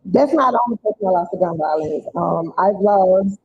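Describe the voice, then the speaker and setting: A woman giving informal personal testimony at a live event, nervous and halting as a first-time speaker. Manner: nervous, halting, self-deprecating. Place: live community event at a church. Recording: dull live recording, faint rumbling noise.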